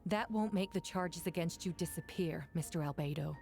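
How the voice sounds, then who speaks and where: A woman's voice: on the low side, normal speed, gravelly, a little mellow, soft background music. A woman voicing a stern, formal and confrontational prosecutor in a fantasy game courtroom. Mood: indignant, accusing. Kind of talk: game character voice acting.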